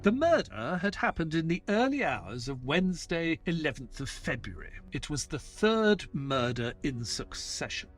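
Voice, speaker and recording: Measured male chronicler of grim wartime crimes actor, audio drama, dramatic and widely varied in pitch. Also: very animated, faint music behind. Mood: grave, reflective. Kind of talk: scripted audio drama narration.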